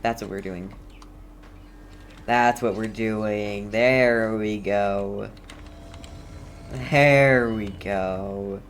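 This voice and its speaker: A game streamer comments casually on play at a slow pace. The voice sits at a middle pitch, and the talk is halting and slangy, often muttered as if to oneself. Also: fairly even intonation, slight background noise.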